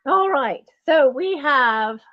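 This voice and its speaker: Posh British grande dame in diamonds, played by a woman; slow, high, swooping and snooty, calls everyone darling. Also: lively intonation, no room sound, highs cut off. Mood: haughty. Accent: put-on posh British. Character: snobbish posh lady in wig and diamonds. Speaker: auction host. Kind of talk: in-character livestream auction.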